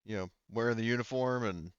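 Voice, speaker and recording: A man playing a practical sheriff in a tabletop game, informal and matter-of-fact, with halting speech. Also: mid-range voice, clean tone, no echo, lo-fi sound, close to an everyday voice. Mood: matter-of-fact, briefing. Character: welcoming, practical male sheriff briefing a new recruit.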